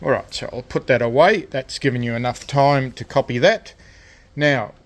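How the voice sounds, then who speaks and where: A man talks through a hobby project in a casual, unscripted way. His delivery is halting and hesitant, with frequent pauses, at a medium pitch. He sounds like an amateur presenter showing how something is done. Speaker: hobbyist presenter of a DIY electronics video. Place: indoors at a cluttered radio workbench.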